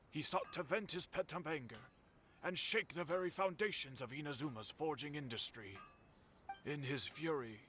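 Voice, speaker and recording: An actor playing a solemn, courteous male storyteller in a video game, speaking in formal, measured phrases. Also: a little high, a bit bright, slight background noise, close to an everyday voice. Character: solemn, courteous storyteller recounting old family tragedy.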